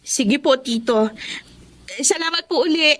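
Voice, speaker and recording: A woman's voice: high voice, unhurried. A woman playing a cheerful, affectionate young tour guide in a Filipino radio drama. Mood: polite, cheerful.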